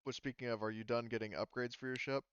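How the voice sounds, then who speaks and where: A man's voice: mid-range voice, steady tone, fast, fairly bright tone, clear, resonant tone, no room sound, muffled recording. A man running a tabletop game as game master, explaining rules in a hesitant way with many ums and restarts.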